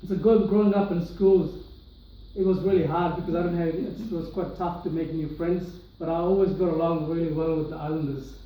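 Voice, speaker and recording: A man's voice: fairly high pitch, fairly even intonation, fairly quick, dull audio, slight background noise. A young man from Sri Lanka giving an earnest personal testimony to an audience in a large room. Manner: earnest, many restarts and fillers. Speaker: speaker sharing his testimony. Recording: echoey hall, voice from far off.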